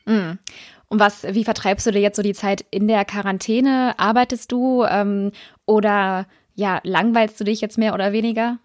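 A woman's voice: mid-range voice, fairly even intonation, moderate pace, dry recording, clean studio sound. A woman hosting an interview podcast, introducing the show and putting questions to a guest. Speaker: podcast host and editor.

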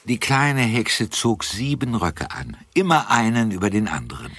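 A man's voice: lowish voice, very animated, moderate pace, a bit bright, breathy edge, no echo, heavily acted. A man narrating a children's radio play in storybook style.

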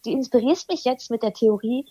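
Hesitant husky-voiced woman. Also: a little high, brisk, dark tone, dry recording, muffled recording. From eastern Germany. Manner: thoughtful, hesitant, many fillers and restarts. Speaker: interview guest, singer. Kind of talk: casual interview chat.

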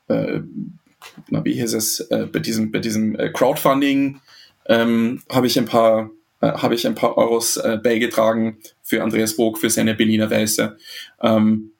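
A man's voice: lowish voice, level tone, unhurried, vocal fry, pauses often. A man from Austria, in his thirties, speaking as a podcast guest, hesitant with many fillers and restarts. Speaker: interview guest. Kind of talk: podcast interview.

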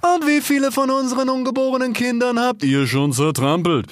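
A man voicing small, excitable animals and birds in a storybook. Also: extremely high pitch, a little fast, dry recording, clean studio sound, voice pushed up. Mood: indignant. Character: excitable, shrill little birds and animals of a crowd.

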